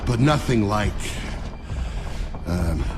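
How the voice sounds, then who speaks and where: Sardonic young lieutenant, under loud music. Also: male voice, fairly low pitch, lively intonation, extremely slow. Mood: grim, reluctant. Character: sardonic young male army lieutenant giving testimony. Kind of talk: video game acting, narration and battle dialogue.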